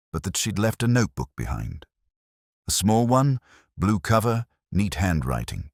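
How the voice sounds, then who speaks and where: A man playing a brooding, erudite middle-aged detective, narrating an audio drama in measured, reflective, literary phrases. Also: rumbling low, no echo, studio quality, exaggerated voice. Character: brooding, erudite male English police detective, melancholy, observant.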